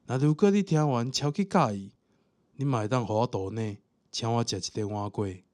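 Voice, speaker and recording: A man's voice: medium pitch, normal speed, a little mellow. A man with a Taiwanese accent giving a casual promotional announcement as a podcast host.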